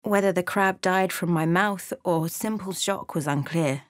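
A woman playing a wry, watchful adventurer in a video game, telling of events in a measured, dramatic way. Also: on the low side, no echo, clean studio sound, lightly acted. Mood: measured, evocative. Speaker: game character voice actor. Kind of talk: video game voice lines, narration and barks.

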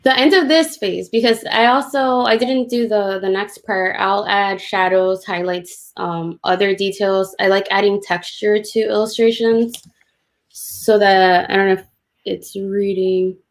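A woman illustrator talks through a drawing demo on a video call. Her voice sits at a middle pitch. She explains in an informal, self-deprecating way. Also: steady tone, measured pace. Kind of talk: casual commentary on a drawing demo.

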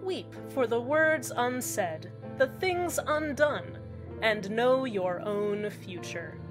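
A woman playing an eerie small-town community radio host in a scripted fiction podcast, deadpan and measured, with deliberate pauses. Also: a little high. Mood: solemn, ominous. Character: eerily cheerful female small-town community radio host, uneasily upbeat. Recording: music under the voice. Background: background music.

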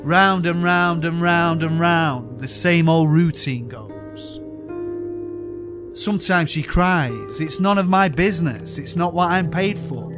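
A man with a Yorkshire accent recites rhyming spoken word verse in a steady, weary, detached cadence. His voice sits a little higher than most men's. A clear music bed runs underneath the studio voice-over. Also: slow pace. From South Yorkshire, England.

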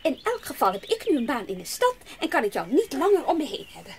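An actress playing a brusque, impatient aunt in a children's radio play, with a curt, sighing way of talking. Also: female voice, high voice, big pitch swings, fast talker, darkish tone, slight background noise. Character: brusque, impatient aunt minding her orphaned niece. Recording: clear outdoor ambience with birdsong.

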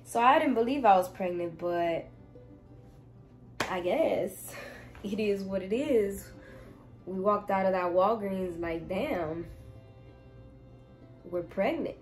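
A woman's voice: mid-range voice, takes it slowly, pauses often, faint music behind. Young woman telling a story in her bedroom. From Miami, United States. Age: 20s. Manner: animated, swings from loud exclaiming to hushed asides. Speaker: vlogger. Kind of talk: casual storytime. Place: bedroom, indoors. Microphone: camera in front, seated.

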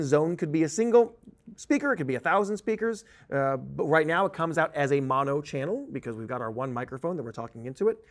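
A man in his thirties giving an informal, conversational video tutorial as a training instructor, explaining things on a lapel mic in a studio. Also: a little high. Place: indoor presentation studio at a desk.

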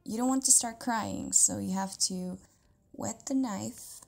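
A woman playing a caring, tender partner in an intimate audio roleplay, talking casually in a soft, hesitant way with breathy near-whispers. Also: medium pitch, a little slow, faint music behind. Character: caring, tender live-in partner of an amnesiac lover.

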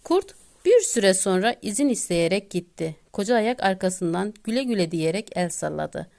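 A woman narrating a children's bedtime tale as a storyteller, delivering it deliberately in short phrases with pauses. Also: mid-range voice, big pitch swings, gravelly, a little mellow.

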